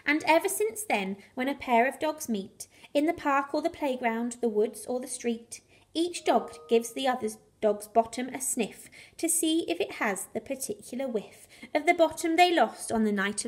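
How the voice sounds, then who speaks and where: Female storyteller, high-pitched, expressive children's bedtime read, animated rhyming delivery with dramatic emphasis. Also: normal speed. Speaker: primary school teacher reading a bedtime story.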